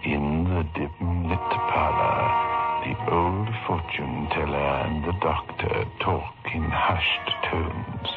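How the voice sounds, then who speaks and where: A sinister horror host speaks in an extremely deep voice, drawing out each phrase very slowly. He is mocking and theatrical, on a dull old radio-play recording. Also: fairly even intonation, loud music behind the voice, heavily acted. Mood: ominous narration. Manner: slow, ominous, theatrical. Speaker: horror show host and narrator. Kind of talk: 1960s radio horror narration.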